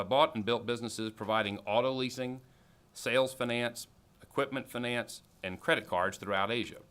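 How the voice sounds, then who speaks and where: A man's voice: lowish voice, pushed and bright. A man in his forties at a podium giving a formal introduction of a guest speaker in a large auditorium, reading from notes with frequent fillers. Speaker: alumni board member introducing speaker. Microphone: podium microphone.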